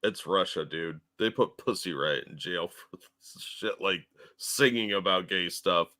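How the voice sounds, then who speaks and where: A man's voice: low-pitched, a little slow, bright, forceful tone. A man co-hosting a casual commentary show, laid-back and casually profane, answering viewers' chat messages. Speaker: co-host.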